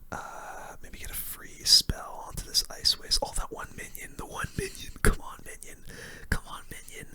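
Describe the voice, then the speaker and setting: Casting: ASMR gaming host, all whisper, very sharp breathy top end, casual slangy play-by-play. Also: moderate pace. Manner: hushed, whispering throughout; casual gamer slang, chatty.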